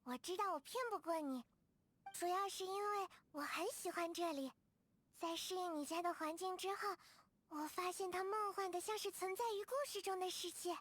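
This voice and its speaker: Casting: shy, grateful young girl for a video game, extremely high voice, courteous and soft-hearted. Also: fairly even intonation, moderate pace, no room sound. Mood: content, happy. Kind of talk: video game character voice acting.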